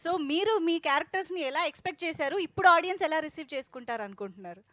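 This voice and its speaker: A woman's voice: very high voice, sing-song, very fast, sharp tone, no room sound. A woman hosting a film promotion, interviewing guests in a conversational style.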